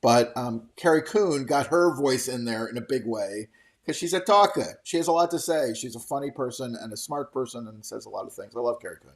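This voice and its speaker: Middle-aged male host for off-the-cuff trade news commentary, opinionated and rambling, mid-pitched voice, comfortable with casual swearing. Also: brisk.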